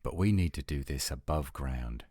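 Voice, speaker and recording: A man's voice: a bass voice, normal speed, mellow, dry recording, clean studio sound. A man playing a testy, headstrong werewolf, terse and challenging, in an audio roleplay drama. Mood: incredulous, reluctant. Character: testy, headstrong male werewolf, protective of his pack.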